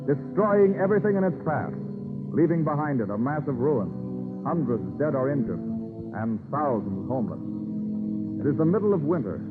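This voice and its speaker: A man's voice: a little high, sing-song, normal speed. A man narrating a 1930s radio drama as a grave, stirring, oratorical storyteller. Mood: solemn, stirring. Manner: solemn, oratorical. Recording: tinny 1930s radio recording, loud music.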